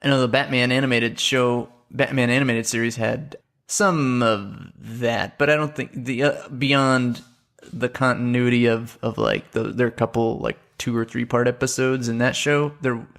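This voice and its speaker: A man hosting a casual podcast chat, talking in a rambling, halting way. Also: mid-range voice, fairly even intonation, gravelly, fairly bright tone. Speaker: podcast host.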